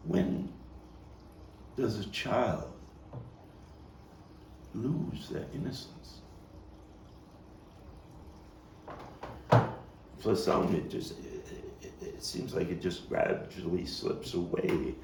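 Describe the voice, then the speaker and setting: Stage monologue role: male actor playing reflective Brooklyn street kid grown up, gravelly, pausing dramatically, heard from a distant mic. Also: deep voice, expressive, darkish tone, full character voice. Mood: pensive, grieving. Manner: halting, reflective, dramatic pauses. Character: street-wise, bookish Brooklyn man recalling a hard childhood. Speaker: actor-playwright performing his monologue. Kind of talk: theatrical monologue at a table read. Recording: roomy, distant mic with faint noise.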